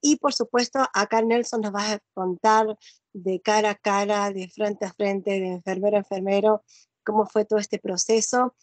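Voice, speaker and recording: A woman's voice: medium pitch, fairly even intonation, normal speed, no room sound. A middle-aged woman with an Argentine accent, hosting an interview in a casual, rambling, chatty way. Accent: Argentine Spanish. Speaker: interviewer and host.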